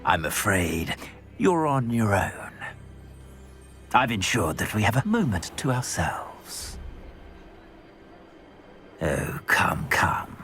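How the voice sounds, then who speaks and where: A scornful wizard villain who drags out each word and swoops wildly in pitch, often dropping to a hushed whisper. Low, slow and contemptuous, with game music playing behind him. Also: male voice, big pitch swings, full character voice. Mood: scheming. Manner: slow, whispery, condescending menace. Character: menacing aristocratic male wizard villain. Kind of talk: video game voice acting.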